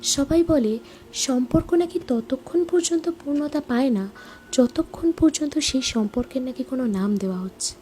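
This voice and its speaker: A woman giving a reflective, sentimental scripted introduction to a story, with dramatic pauses. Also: sits high, dark tone. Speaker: introducer, narrator.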